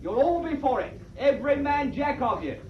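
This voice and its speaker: A man's voice: extremely high pitch, very animated, normal speed. A man playing a bold, cheeky British prisoner seaman in a wartime radio play, slangy and insistent, on an old recording. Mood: insistent, coaxing. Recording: echoey, muffled, noisy old recording.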